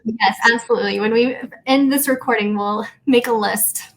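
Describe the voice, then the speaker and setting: A woman's voice: fairly high pitch, moderate pace, poor audio. A woman hosting a casual podcast conversation, agreeable and appreciative in the way she talks. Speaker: podcast host.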